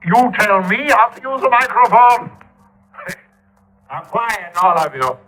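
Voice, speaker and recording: An actor playing a vain, overbearing radio actor who speaks in a grandiose, theatrical, scolding way, in a 1950s radio drama. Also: male voice, high voice, lively intonation, slow, fairly bright tone, echoey room, exaggerated voice, low-quality recording. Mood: haughty. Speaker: actor in the broadcast.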